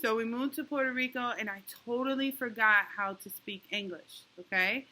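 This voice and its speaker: A woman teaching small children over a video call, her voice high and bright. She explains things patiently and keeps asking "okay?" so the kids keep up. She talks through a laptop mic from home. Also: low-quality recording. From New York. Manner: patient, encouraging, talks slowly to kids with many "okay?" checks. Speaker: elementary school teacher. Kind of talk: online class for young children.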